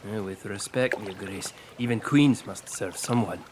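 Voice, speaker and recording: An actor playing a composed, cautious knightly leader who speaks formally in a fantasy audio drama. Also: male voice, fairly low pitch, a little slow, background noise, lightly acted. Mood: respectful. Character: courteous, cautious knightly leader of a questing party.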